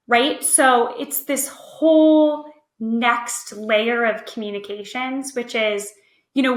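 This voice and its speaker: Bright, higher-voiced woman in an echoey room. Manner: explanatory, checks in with "right?". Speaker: podcast guest, nonprofit communications expert. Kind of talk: conversational explaining in a podcast interview. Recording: roomy, echoey voice track.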